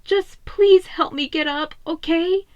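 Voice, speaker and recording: A woman's voice: very high-pitched, takes it slowly, a little mellow, dry recording, muffled recording, voice pushed up. A woman voicing a proud, emotional teenage turtle brother who is hurting, with an anguished, pleading manner. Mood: weary.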